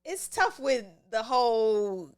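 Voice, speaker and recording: A young woman who works as a radio personality, a podcast guest chatting in a relaxed, laid-back way. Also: fairly high pitch, slow pace. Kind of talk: casual chat.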